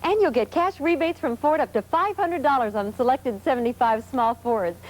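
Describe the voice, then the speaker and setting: An American woman delivering a 1970s car dealer commercial pitch. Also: moderate pace, natural voice, rough recording. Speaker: commercial spokeswoman.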